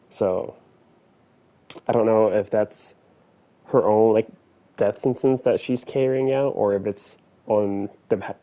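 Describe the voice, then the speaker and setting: Mellow low-voiced man streaming a game. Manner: rambling, lots of "like" and "you know". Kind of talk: casual let's-play commentary.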